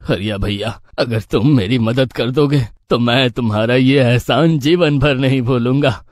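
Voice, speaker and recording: A man's voice: medium pitch, measured pace, a little mellow, no echo, studio quality, lightly acted. A man playing a poor, humble old village farmer in a cartoon story, pleading and lamenting in despair. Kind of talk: Hindi cartoon moral story dialogue.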